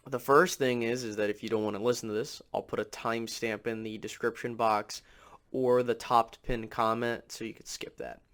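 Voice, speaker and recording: Slightly raspy, nasal man talking sports. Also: mid-range voice, a bit bright. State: under the weather, congested. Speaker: sports channel host. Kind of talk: casual sports commentary to viewers.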